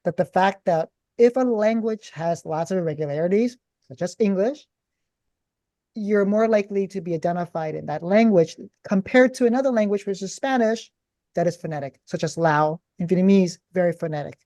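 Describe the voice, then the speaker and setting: A woman hosts an education interview, reflecting on what her guests said and steering to the next topic. Her voice is low and mellow, and she speaks in a calm, measured way. The sound is dry and close. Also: dry recording. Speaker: host. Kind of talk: podcast interview.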